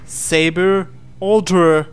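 A man reading a visual novel aloud as a dramatic narration, with deliberate pauses. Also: sits high, fairly even intonation, smooth. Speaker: gaming channel host. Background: game music.

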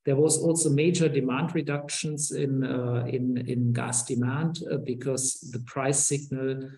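Middle-aged guy lecturing on a webcam, gravelly warm voice, lots of ums, echoey room. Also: mid-range voice, fairly even intonation. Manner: many ums and uhs, measured explaining. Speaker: keynote speaker. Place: office or small room, indoors. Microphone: webcam on a video call. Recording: roomy webcam audio.

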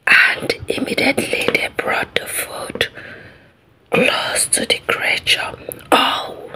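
An African-accented woman telling a folktale as ASMR in a hushed, drawn-out, deliberate whisper. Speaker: ASMR storyteller.

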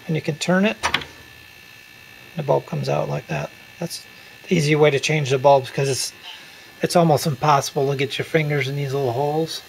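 Casual male DIY host, a bit higher than average with noticeable vocal fry, home recording with light background hum. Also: rough recording. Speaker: repair video host. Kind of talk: casual hands-on how-to narration. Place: room at home. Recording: steady hum and room noise.

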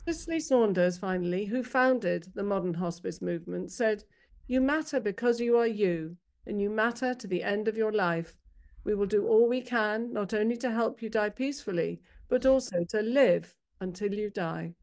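A woman doctor speaking as a panelist, reading a prepared talk aloud in a measured, articulate, formal way. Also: mid-range voice, darkish tone.

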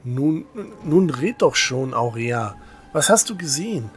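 A man's voice: medium pitch, very animated, measured pace, faint music behind. A man playing an earnest, stocky young religious novice in a fantasy audio drama, agitated and stammering over his words. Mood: worried, urgent. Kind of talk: fan-made fantasy audio drama acting.

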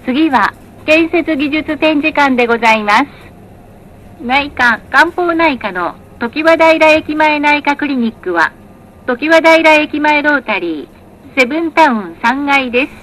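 High-pitched woman announcing on a bus. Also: lively intonation, a little slow, fairly bright tone, rough recording. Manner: polite, formal, carefully articulated. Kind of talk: scripted in-vehicle bus announcement. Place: recorded announcement played inside a bus.

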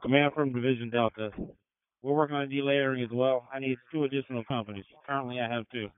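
Male fire officer, fast and clear, heard on a phone-like radio channel, procedural reports. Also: medium pitch, fairly even intonation, no echo. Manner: brisk, procedural. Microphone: two-way radio.

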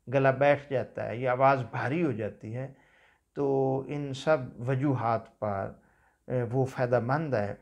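A man in his fifties with a Pakistani Urdu accent, giving a calm, measured talk on home remedies from a small office. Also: medium pitch. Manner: measured, unhurried explanation with religious phrases. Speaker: herbal medicine practitioner. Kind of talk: calm instructional health talk. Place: small office seated at a desk.

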